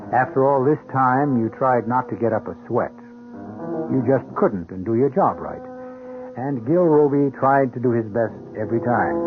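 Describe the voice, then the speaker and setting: A man hosting and narrating a radio mystery series, telling the story in a measured, grave, dramatic style. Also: medium pitch, narrow, tinny audio. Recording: band-limited old radio broadcast. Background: music.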